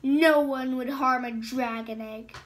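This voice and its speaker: A woman's voice: high voice, fairly even intonation, slow pace, slight background noise. Woman voicing a defiant captive male ice dragon. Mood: desperate. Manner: strained, pleading defiance. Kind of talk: storybook read-aloud voice acting.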